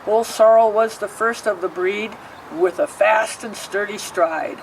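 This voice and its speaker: A woman's voice: medium pitch, measured pace, distant mic, background noise. Woman reading a picture book from afar. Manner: sing-song rhyming reading with asides explaining pictures. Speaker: storybook reader.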